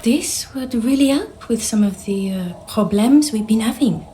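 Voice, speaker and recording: Game casting: matter-of-fact officer, fairly high register, pragmatic delivery. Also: female voice, lively intonation, measured pace, dark tone, a little hiss or hum. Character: practical, matter-of-fact female police officer. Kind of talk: video game voice acting.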